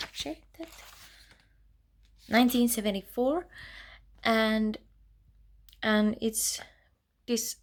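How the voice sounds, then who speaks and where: Woman with a creaky voice. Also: mid-range voice. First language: Finnish. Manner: chatty and reflective, drops to soft near-whispers. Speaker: book vlogger. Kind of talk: casual reading vlog.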